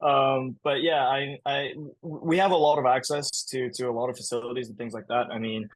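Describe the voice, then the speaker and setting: A man's voice: mid-range voice, level tone, a little fast, no room sound. A young man from Hong Kong, a podcast guest, answering casually with lots of fillers and restarts and frequent laughs. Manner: chatty, many fillers and restarts, laughs often. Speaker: guest, camp business manager.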